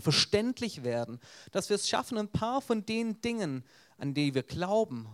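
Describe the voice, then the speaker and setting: A man's voice: high voice, darkish tone. A man with a Swabian accent, an evangelist giving an earnest evangelistic sermon with storytelling.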